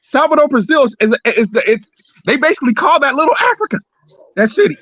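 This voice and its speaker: High-voiced combative man on a dull stream. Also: big pitch swings, fast, a bit bright. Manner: combative, repeats himself, swears. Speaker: livestream host. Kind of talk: heated casual debate.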